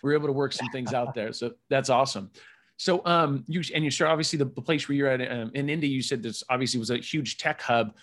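Man, podcast host, quick, upbeat, chatty, casual interview. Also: medium pitch, fairly bright tone. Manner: upbeat, chatty, many asides.

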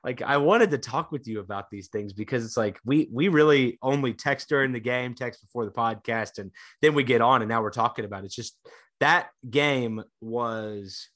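Chatty young man, bright excitable voice. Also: mid-range voice, moderate pace. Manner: excitable, chatty, lots of fillers. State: congested from illness. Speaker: podcast co-host. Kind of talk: casual sports podcast chat.

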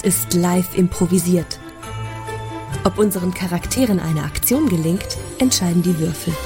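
Low-voiced woman announcer over music. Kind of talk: scripted intro narration. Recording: jingle music behind voice.

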